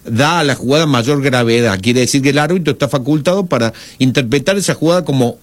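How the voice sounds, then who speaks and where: A man gives rule analysis on sports radio in a mid-pitched voice. He is methodical and formal, pausing as he builds each point, on a close, dry microphone. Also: dry recording. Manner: methodical, hesitant pauses, formal wording. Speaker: refereeing analyst. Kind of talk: explaining rules on live sports radio.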